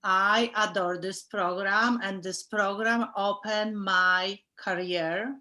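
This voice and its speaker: A woman in her fifties from Poland, speaking English with a strong Polish accent as a panelist on a video call, in careful, halting sentences. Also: medium pitch, fairly bright tone. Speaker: alumni panelist.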